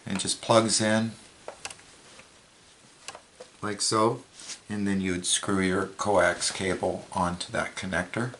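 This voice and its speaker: Low-voiced man explaining gear step by step. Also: level tone, a little slow, a bit bright, a little hiss or hum. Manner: plain, step-by-step, matter-of-fact. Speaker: product reviewer, presenter. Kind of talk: hands-on product demonstration, explanatory.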